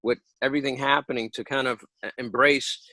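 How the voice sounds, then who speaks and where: A man's voice: mid-range voice, fairly even intonation, brisk, pushed and bright, no echo, poor audio. A man hosting a casual interview, affirming his guest and asking questions in an encouraging way. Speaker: interviewer, host.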